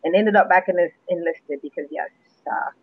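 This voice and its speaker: A woman's voice: fairly low pitch, moderate pace, husky. Woman on a tinny phone line. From Jamaica. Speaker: guest poet. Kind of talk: casual interview chat.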